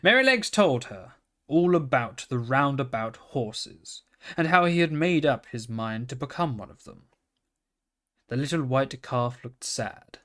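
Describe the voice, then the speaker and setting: A man reading a children's storybook aloud in a calm, steady manner. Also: mid-range voice, a little slow. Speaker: reader.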